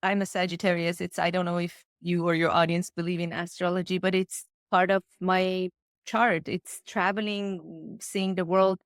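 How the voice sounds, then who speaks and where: Woman from iran, earnest and excited coach talk, dry close mic. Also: on the low side, moderate pace, no room sound. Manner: enthusiastic, earnest, slightly non-native phrasing. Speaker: guest, manifestation coach. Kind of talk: casual podcast interview.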